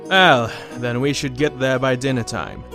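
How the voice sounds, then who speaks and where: A man's voice: mid-range voice, unhurried, music in the background, close to an everyday voice. A man as a wry, teasing companion. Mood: amused, teasing. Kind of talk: scripted audio drama acting.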